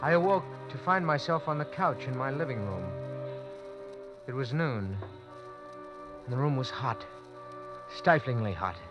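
An actor playing an anxious young lawyer, narrating tensely in first person in a 1940s radio drama. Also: male voice, mid-range voice, lively intonation, a little slow, long pauses, natural voice. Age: young adult. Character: panicked young lawyer who wakes beside a corpse. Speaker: narrator and lead. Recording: muffled old radio broadcast with music.

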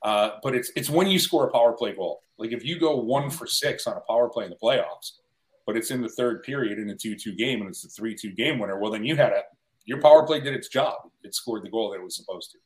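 A man's voice: on the low side, expressive, quick pace, rough recording. A middle-aged man in casual conversation as a guest sports broadcaster, telling stories with wry, self-deprecating humour. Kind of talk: casual chat, storytelling.

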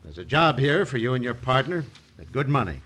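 An actor playing a ruthless, commanding cattle baron villain in an old-time radio western. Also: male voice, mid-range voice, very animated, brisk, a bit bright, breathy edge. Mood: commanding, scheming. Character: ruthless, smooth-talking wealthy American cattle baron villain. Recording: noisy old radio recording.

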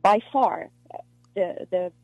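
A woman from South Africa, an actress being interviewed, talking in a halting way with many restarts and fillers. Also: mid-range voice, dark tone, dry recording. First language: Afrikaans. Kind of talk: casual interview conversation. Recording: muffled lo-fi dry recording.

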